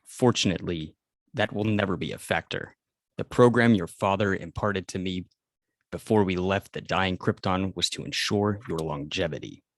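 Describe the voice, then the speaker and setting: A man playing a stoic, protective alien robot guardian in a table read, speaking formally and in measured phrases. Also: deep voice. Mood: grave.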